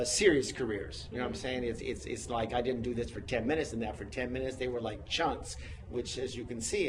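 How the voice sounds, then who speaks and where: A man in his sixties from the United States, a guest chatting in an interview who tells stories in an animated, self-mocking way. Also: on the high side. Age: 60s. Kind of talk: casual interview chat. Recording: noisy room sound.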